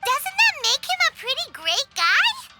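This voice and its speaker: A woman voicing a small, childlike, curious and cheeky fairy companion in a game, squeaky and inquisitive. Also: wildly swooping pitch, measured pace, loud music behind the voice, heavily acted. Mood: curious, puzzled. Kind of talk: cartoonish game dialogue.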